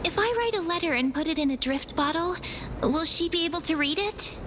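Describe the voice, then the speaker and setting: Very high-pitched, earnest little fairy girl. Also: expressive, brisk, noisy background. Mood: wistful. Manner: wistful, hesitant, childlike. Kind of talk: video game voice acting.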